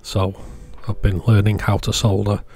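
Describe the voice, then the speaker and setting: A man's voice: fairly low pitch, completely flat delivery, moderate pace, darkish tone, breathy edge. A man giving a casual, plain-spoken voice-over, explaining hobby electronics as the channel's presenter. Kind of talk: casual product showcase voice-over.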